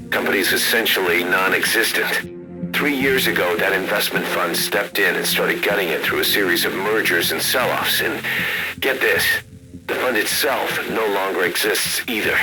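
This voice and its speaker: An actor playing a gruff, serious military intelligence officer giving terse video game radio briefings. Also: male voice, sits low, close to an everyday voice, low-quality recording.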